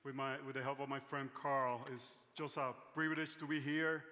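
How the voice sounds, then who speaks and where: A man's voice: mid-range voice, little pitch movement, normal speed, sharp tone. A man from Puerto Rico with a Puerto Rican Spanish accent, preaching in a conference hall and joking about himself. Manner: joking, self-deprecating. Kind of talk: sermon with live interpretation. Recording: large hall reverb, faint noise.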